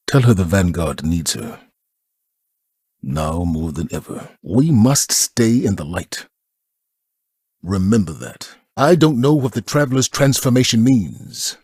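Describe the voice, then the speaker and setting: An actor playing a grave, steadfast military commander in a video game, speaking in a solemn, measured, authoritative way. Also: male voice, fairly low pitch, a little mellow, breathy edge, dry recording, studio quality, full character voice. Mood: stern, urgent, then hopeful, solemn. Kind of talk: scripted video game voice acting.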